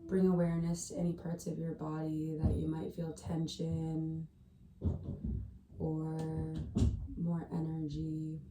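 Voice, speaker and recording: Female meditation guide: very soft dark tone, even low-set delivery, gentle and unhurried, echoey room, a bit away from the mic. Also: far from the mic, slight background noise. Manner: gentle, hushed, unhurried delivery with long pauses. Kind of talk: slow, soothing guided meditation. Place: indoors at a family home.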